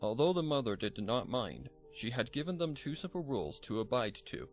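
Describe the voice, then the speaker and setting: A man narrates a story from a script in a medium-pitched voice. Music plays behind his reading. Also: big pitch swings, normal speed. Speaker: fan fiction narrator.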